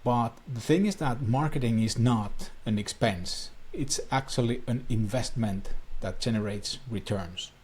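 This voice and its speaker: Finnish man, native Finnish speaker, marketing coach explainer, husky mid-pitched voice, earnest and plain. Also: unhurried, few pauses, a little mellow. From Finland. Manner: earnest, plain non-native phrasing with small restarts. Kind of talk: talking-head explainer to camera.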